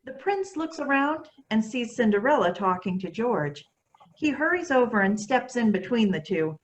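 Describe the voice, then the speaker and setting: A woman's voice: a little high, very animated, moderate pace, muffled recording. A woman reading stage directions as a scripted narrator.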